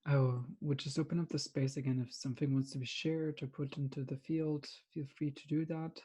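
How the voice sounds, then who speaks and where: A man facilitating a group meditation on a video call, gently inviting people to share. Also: fairly high pitch, flat delivery, fairly quick, husky.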